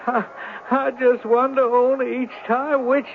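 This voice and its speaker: A con man's folksy sidekick in a radio play. His voice is strikingly high and he talks slowly and haltingly. It comes through thin and tinny, like an old seventies broadcast. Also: flat delivery. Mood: feigned agony. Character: reluctant, plain-spoken male sidekick of a swindler. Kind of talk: 1970s radio drama acting. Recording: tinny 1970s radio recording, faint noise.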